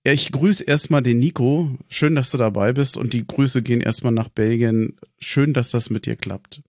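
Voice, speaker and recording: A man's voice: medium pitch, normal speed, no echo, studio quality. A man hosting a fan podcast, chatty and casual, often repeating words as he starts a sentence. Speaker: podcast host.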